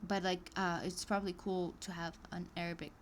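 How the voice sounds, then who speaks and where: Woman, low-pitched, casual stream reading, chatty asides, halting with ums, faint background hiss. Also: level tone. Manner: reads course text aloud, breaking off into chatty asides and small laughs; hesitant, many ums and restarts. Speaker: live streamer working through an online course.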